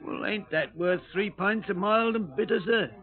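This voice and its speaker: An actor playing a cheeky, hard-up London Cockney pub informant in a 1950s radio drama, wheedling and deferential. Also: male voice, high voice, normal speed, lightly acted. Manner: ingratiating, deferential. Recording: tinny old radio broadcast recording.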